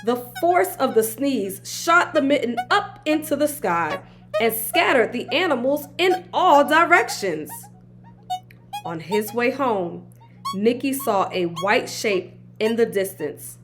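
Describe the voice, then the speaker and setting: A woman reads a picture book aloud for young children. Her voice is fairly high and very lively in pitch, with an unhurried, expressive delivery. Music plays clearly behind her. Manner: slow, animated storytelling. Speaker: children's read-aloud host. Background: background music.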